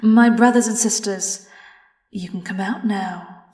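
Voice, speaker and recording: A woman's voice: mid-range voice, fairly even intonation, unhurried, a little mellow, lightly acted. A British woman playing a vengeful, silky vampire in an audio horror roleplay, theatrical and taunting, slipping into whispers. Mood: gloating showmanship. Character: vengeful female vampire singer, silky, mocking, gloating predator. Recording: roomy, distant, music behind.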